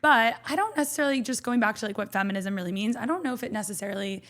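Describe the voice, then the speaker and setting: A young woman hosting a podcast interview, chatty and lively with quick asides. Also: on the high side. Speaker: podcast host.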